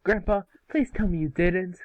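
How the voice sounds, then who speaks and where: A man's voice: high voice, normal speed, narrow, tinny audio, pitched above the performer's own voice, natural voice. Man playing a brash, whiny schoolboy. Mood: whiny indignation. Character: impatient, brash young schoolboy hero, whiny.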